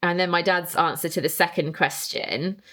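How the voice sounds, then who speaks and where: A woman's voice: on the low side, fairly even intonation, normal speed, sharp tone. A young woman hosting a casual solo podcast, chatting in a rambling way and reading out listener answers. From England. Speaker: podcast host.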